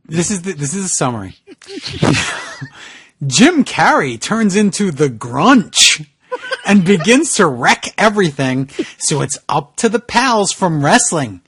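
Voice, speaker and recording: A man's voice: a little high, a bit bright, slightly rough, no echo. A man co-hosting a comedy podcast, giggly and incredulous as he banters casually and reads aloud. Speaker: podcast co-host.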